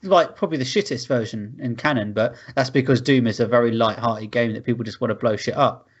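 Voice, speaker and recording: A man's voice: mid-range voice, brisk, muffled recording. A man chatting casually as a podcast co-host, opinionated and sweary. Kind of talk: casual group chat about films.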